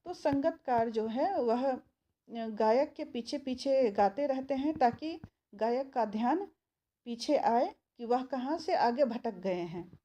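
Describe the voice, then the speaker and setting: A woman teacher explaining a poem line by line, repeating phrases and pausing often with fillers. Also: fairly high pitch, lively intonation, dark tone. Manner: slow, repeats phrases, many filler pauses. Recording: dull lo-fi sound with faint noise.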